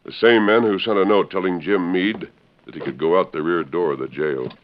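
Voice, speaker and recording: Man playing a masked frontier hero, deep voice, steady, commanding, formal, old radio drama, muffled fidelity. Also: a little fast, natural voice. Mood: firm, assured. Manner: authoritative, clipped, formal. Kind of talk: 1940s radio acting. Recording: dull vintage radio drama recording.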